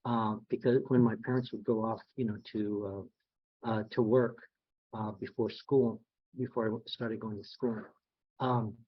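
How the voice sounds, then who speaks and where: A soft-spoken man giving a keynote, telling personal stories in a folksy, halting manner. His voice is dry and close, with a dull, slightly lo-fi sound. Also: lowish voice, level tone, husky, dry recording. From San Antonio, Texas. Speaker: guest speaker, federal statistics agency director. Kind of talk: storytelling keynote.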